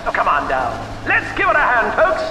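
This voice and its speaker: A man's voice: sits high, wildly swooping pitch, normal speed, noisy background. An actor playing a smug corporate showman in a video game, with showy, mocking, condescending announcer patter. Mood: showy, teasing. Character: smug male corporate showman addressing a test subject. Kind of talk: theatrical stage-announcer patter. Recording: echoey hall, distant, loud music behind.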